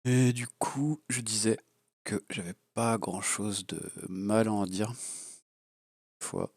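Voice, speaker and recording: Gravelly low-voiced man with a cold. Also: fairly even intonation, slow pace, dry recording, clean studio sound. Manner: blunt and crude, rambling with many fillers. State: has a cold. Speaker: FPV drone hobbyist reviewer. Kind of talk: casual unscripted product review. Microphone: low-level home mic.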